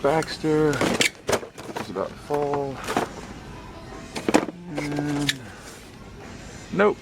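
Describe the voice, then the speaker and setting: Male vlogger, unhurried casual commentary, muttered as he browses, music in the background. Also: a little high, creaky. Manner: muttering to himself while browsing. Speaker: vlog host. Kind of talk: casual toy hunt commentary. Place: inside a retail store aisle.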